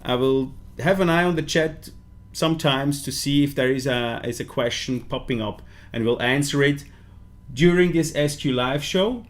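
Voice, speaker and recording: A man's voice: mid-range voice, moderate pace. A man with a Swiss German accent hosting a live stream, switching between languages, with frequent fillers and restarts. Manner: hesitant, many fillers and restarts. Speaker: livestream host. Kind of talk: bilingual live stream talk.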